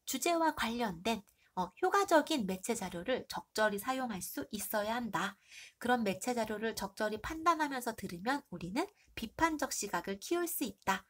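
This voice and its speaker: Woman teacher, slightly high voice, stuffy nose, hoarse throat, quizzing the class and answering herself. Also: animated, moderate pace. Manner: asks the class questions and answers them herself. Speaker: middle school Korean teacher. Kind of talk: exam review lesson.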